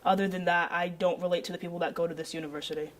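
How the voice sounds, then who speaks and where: Young woman with flat intonation. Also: on the low side, rapid-fire. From Linden, New Jersey. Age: young adult. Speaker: student interviewee. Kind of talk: candid interview answers.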